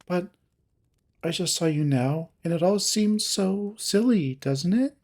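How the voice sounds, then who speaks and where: A man voicing a young female cat character in a story, forgetful, sheepish and evasive, with a halting, babyish way of talking. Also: high-pitched, lively intonation, darkish tone, pitched above the performer's own voice, exaggerated voice. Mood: hesitant, sheepish. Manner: halting, filler-heavy, babyish.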